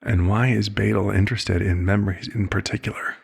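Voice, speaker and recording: A man playing a concerned, paternal vampire doctor in an ASMR roleplay, speaking formally and deliberately in a husky voice. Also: fairly low pitch, steady tone, full character voice. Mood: probing, measured.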